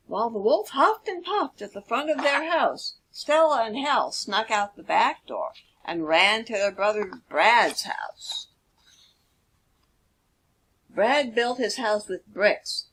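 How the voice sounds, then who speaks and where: A woman narrating a children's storybook expressively, with deliberate, dramatic emphasis. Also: a little high, lively intonation, fairly bright tone, slight background noise, poor audio. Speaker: author reading own children's book.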